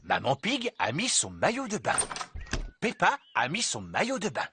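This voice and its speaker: A man narrating a children's cartoon in a calm, scripted storybook manner. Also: on the high side, moderate pace. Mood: plain. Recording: noisy clip played back.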